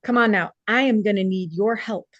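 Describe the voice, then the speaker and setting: A woman's voice: medium pitch, normal speed, no echo, highs cut off, close to an everyday voice. A woman playing a kind, encouraging young woman in a drama table read. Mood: playful, encouraging.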